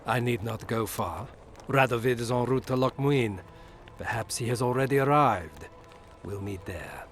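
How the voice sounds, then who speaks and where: An actor playing a suave, middle-aged imperial ambassador in a video game, formal, measured and insinuating. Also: male voice, medium pitch, animated, a bit bright. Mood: coolly measured. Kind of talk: video game dialogue acting. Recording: music behind the voice.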